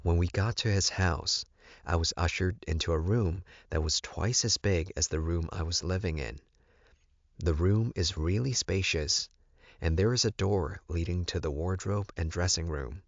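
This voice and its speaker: A man's voice: sits low, normal speed, dry recording, dull audio. A man narrating a first-person story as a scripted audiobook.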